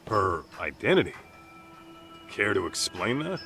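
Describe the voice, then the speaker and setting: A gruff, plain-spoken middle-aged detective in a video game drama. His voice is low and moves around a lot in pitch. Soft music plays behind him. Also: sing-song, unhurried. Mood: probing. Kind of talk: English video game dub acting.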